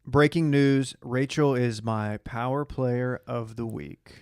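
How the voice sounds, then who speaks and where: A man's voice: mid-range voice, fairly even intonation, slow, a little mellow, smooth, dry recording. Man chatting casually on a dry mic. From Dallas, Texas. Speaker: podcast co-host. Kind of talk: casual banter recapping reality TV.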